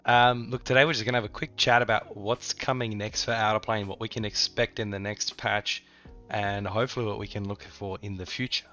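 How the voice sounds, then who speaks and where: A man's voice: fairly low pitch, steady tone, brisk, pushed and bright, dull audio. A man hosting a mobile game channel, chatting loosely with frequent ums and restarts while stuffy from a cold. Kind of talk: casual gaming update chat.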